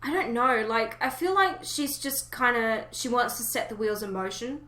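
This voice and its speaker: A woman's voice: a little high, expressive, moderate pace, bright, forceful tone. A woman chatting casually about fan theories, speaking in an opinionated, emphatic way. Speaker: podcast co-host. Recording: roomy, echoey voice recording.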